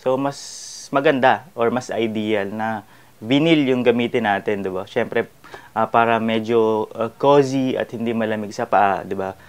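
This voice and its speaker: A man's voice: on the low side, unhurried, clear, resonant tone. A young man from the Philippines in his twenties, hosting and explaining home renovation clips in a casual, conversational way, checking in with the viewer as he goes. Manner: conversational, tags points with "no?" and "di ba?". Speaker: host reacting to renovation videos. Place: small indoor studio with bookshelves.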